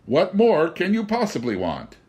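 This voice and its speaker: A man doing a wry, calm little stranger. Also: on the high side, voice pushed up. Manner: slow, measured, wry.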